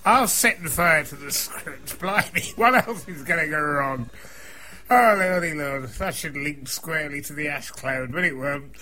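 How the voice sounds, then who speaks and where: A man with a gravelly voice, presenting a radio show in a chatty, flustered, self-mocking way. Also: a little high, measured pace, pushed and bright, poor audio.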